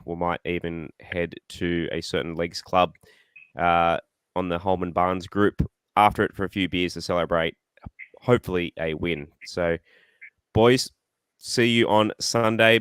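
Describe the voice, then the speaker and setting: An Australian man hosting a casual fan podcast, rambling and excitable, his voice slightly croaky. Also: sits low, no echo. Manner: rambling, excitable, self-deprecating. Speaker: podcast host.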